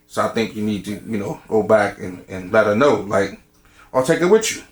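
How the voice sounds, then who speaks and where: Man in his 50s, group talk, frank and relaxed, lowish voice, echoey room. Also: normal speed. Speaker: discussion participant. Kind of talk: candid group discussion. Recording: roomy echo.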